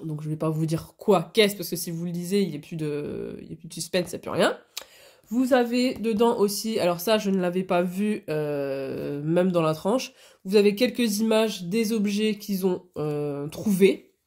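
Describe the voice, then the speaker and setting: A woman's voice: lowish voice, moderate pace, darkish tone. A woman with a cold and a blocked nose, chatting casually in a hobby vlog, with many hesitations and restarts. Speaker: hobby vlogger. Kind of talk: casual chat.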